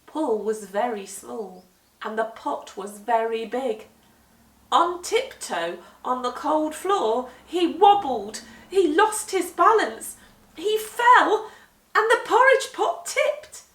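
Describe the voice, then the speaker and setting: A woman reading a children's story aloud with expressive, dramatic emphasis, moving between hushed and swelling delivery. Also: very high-pitched. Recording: echoey room, distant microphone.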